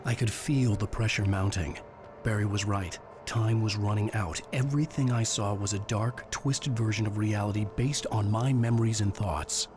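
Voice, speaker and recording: An actor playing a troubled writer who narrates his nightmare in a grave, measured way for a game. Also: fairly low pitch, steady tone, lightly acted. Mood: tense, brooding. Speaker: protagonist narrator. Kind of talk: brooding scripted game narration. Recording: loud music behind the voice.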